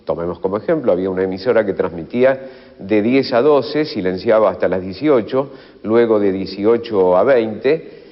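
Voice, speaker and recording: An Argentine man explaining as an interviewed expert, halting and often rephrasing himself. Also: on the low side, lively intonation. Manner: halting, self-correcting. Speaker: radio history expert interviewee. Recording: dull, long reverberant room sound.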